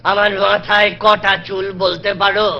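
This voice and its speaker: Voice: medium pitch, completely flat delivery, normal speed, creaky, lo-fi sound, slight background noise, natural voice. An actor voicing an anxious, brusque king in a Bengali children's cartoon. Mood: fretful. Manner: brusque, fretful, dismissive. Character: anxious, irritable king brooding over a grave problem.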